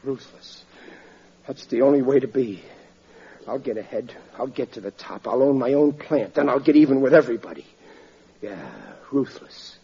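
A man's voice: mid-range voice, moderate pace, warm tone, lightly acted. An actor playing a sulky, blustering working-class family man in a 1940s-style radio sitcom, grumbling in colloquial speech. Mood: brooding. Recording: muffled old radio recording, audience behind.

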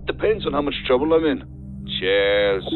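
A man's voice: mid-range voice, animated, moderate pace, faint music behind. A man playing a wry, flippant rogue recording a self-mocking voicemail greeting in an audio drama, through a telephone filter. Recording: tinny narrow voicemail phone line.

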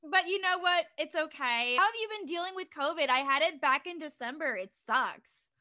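Bright, high-voiced giggly woman host. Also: animated, moderate pace. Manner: giggly and chatty, many "like" fillers. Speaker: podcast host. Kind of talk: casual podcast chat.

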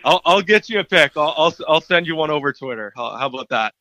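A man with a raspy voice, answering interview questions in an easygoing way as a guest. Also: on the high side, animated, fast, bright, forceful tone, no room sound, muffled recording. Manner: easygoing, laughs often. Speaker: guest columnist.

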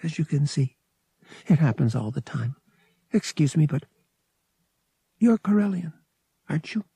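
A man voicing a guarded, soft-spoken young woman, a devout pilgrim worker, polite and reticent, in an audiobook. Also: on the high side, expressive, measured pace, creaky, husky, no echo, pitched above the performer's own voice, full character voice.

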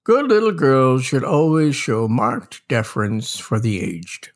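Wry older male humorist, played by an actor, mock-solemn and drawling, with a swooping pitch and slow pace for a radio reading. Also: big pitch swings. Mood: mock-earnest. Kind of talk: theatrical dramatic reading of a comic essay.

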